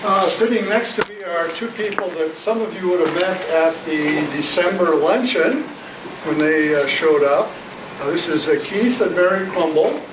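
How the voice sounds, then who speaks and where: A man's voice: high voice, moderate pace. A man informally introducing people at a club meeting, speaking hesitantly with light humor. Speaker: club member introducing newcomers. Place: indoor meeting room. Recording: distant mic, echoey noisy room.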